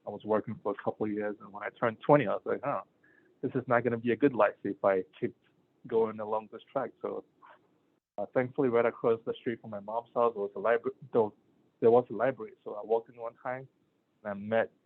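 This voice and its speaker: A middle-aged man from Ghana, a physician giving a casual talk to students, rambling with many fillers and restarts. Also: lowish voice. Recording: tinny phone-like sound.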